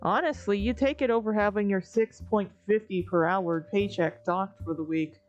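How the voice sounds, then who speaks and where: A woman's voice: mid-range voice, moderate pace, highs cut off. A woman narrating a comic dub in the second person, with a wry, weary, conversational delivery. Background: soft music.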